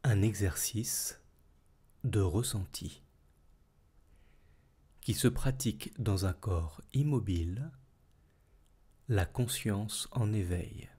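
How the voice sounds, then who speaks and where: A man leading a guided yoga nidra relaxation as instructor, speaking calmly and soothingly in measured phrases with long pauses. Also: fairly low pitch, husky.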